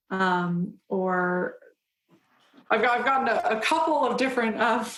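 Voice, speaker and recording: A young adult woman council member answering interview questions in an earnest, measured way, with frequent pauses and restarts. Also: medium pitch, a bit bright, roomy sound, poor audio.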